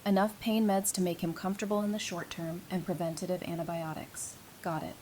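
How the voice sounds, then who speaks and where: A woman's voice: lowish voice, flat delivery, a little fast, a little mellow, a little hiss or hum, lightly acted, low-quality recording. A woman playing a tough, caring survivor with a familiar, teasing way of talking in a fan audio drama. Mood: brisk, helpful.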